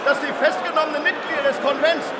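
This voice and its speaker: An actor playing a big, agitated male deputy shouting urgently in a radio play. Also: very high-pitched, steady tone, fast, heavy background noise. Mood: defiant, demanding. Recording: very loud crowd uproar behind.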